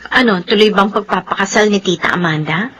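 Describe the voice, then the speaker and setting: A woman's voice: medium pitch, fairly quick, pushed and bright, husky. Radio soap girlfriend: young Filipino woman, middle-register voice, impatient and wistful about marriage. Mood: curious. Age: young adult. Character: impatient, doubting young girlfriend longing to marry.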